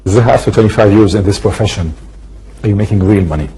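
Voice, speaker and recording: A man's voice: on the low side, quick pace, warm tone, slightly rough, rough recording. A man, a native Arabic speaker, hosting a TV interview and asking pointed, probing questions. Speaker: TV interview host.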